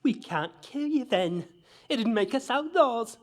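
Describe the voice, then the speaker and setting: An actor playing a crude, sarcastic mercenary leader with rough, rustic speech. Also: male voice, very high voice, expressive, slow pace, heavily acted. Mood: mocking.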